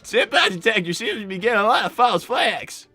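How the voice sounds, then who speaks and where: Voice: mid-range voice, big pitch swings, a little fast, pushed and bright, faint music behind. A performer voicing a young boy fox in an improvised cartoon livestream, an awkward sidekick who stammers and gets defensive.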